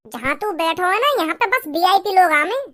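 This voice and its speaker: A performer voicing a sly, cheeky village youth in a comedy cartoon, with a mocking, drawn-out way of talking. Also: very high voice, expressive, bright, forceful tone, exaggerated voice. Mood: annoyed, scolding. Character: cheeky, sly village youth, smooth-tongued prankster friend.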